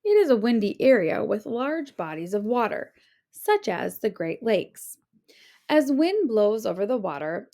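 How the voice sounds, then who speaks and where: A woman reading an informational children's book aloud like a teacher, with clear, deliberate phrasing. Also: medium pitch, a little mellow.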